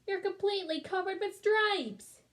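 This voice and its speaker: A woman's voice: very high-pitched, normal speed, fairly bright tone, voice pushed up. A woman voicing an anxious, bossy mother in a children's story, exclamatory and firm. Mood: horrified.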